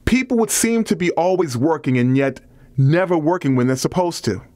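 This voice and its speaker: A man reads scripted nonfiction as an audiobook narrator, with measured, deliberate phrasing. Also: fairly high pitch, lively intonation, a little mellow, no echo.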